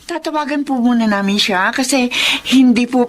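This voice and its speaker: Casting actress: timid, dutiful office woman for radio drama, slightly high pitch, faint background noise. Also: normal speed, lightly acted. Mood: flustered. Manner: hesitant, deferential. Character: timid, dutiful young woman employee, feverish. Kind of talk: Tagalog radio drama acting.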